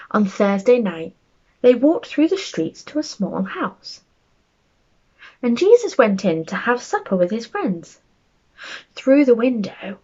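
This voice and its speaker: A woman telling a bedtime story to young children, speaking gently and expressively as she reads aloud and chats to them. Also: fairly high pitch, muffled recording.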